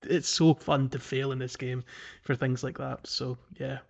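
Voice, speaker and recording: A man in a relaxed gaming podcast conversation, with a mid-pitched voice. He talks in a chatty, enthusiastic way, as a host among friends. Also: a little slow, creaky, muffled recording. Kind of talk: casual video game chat.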